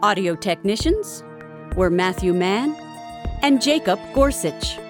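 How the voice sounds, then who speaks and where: A woman narrating a scripted audio drama like a storybook, with dramatic, deliberate delivery. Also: medium pitch, lively intonation. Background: background music.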